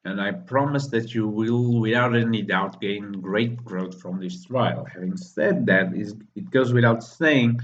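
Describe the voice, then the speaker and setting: A man voicing a solemn ancient male warrior mentor, reading in a grave, measured, subdued way. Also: on the low side, muffled recording, a little hiss or hum, natural voice.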